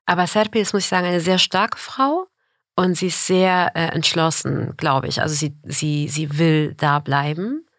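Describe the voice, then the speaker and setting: Reflective woman reporter, low dry voice. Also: normal speed, a bit bright, no room sound. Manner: reflective, searching for words. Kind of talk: casual conversation.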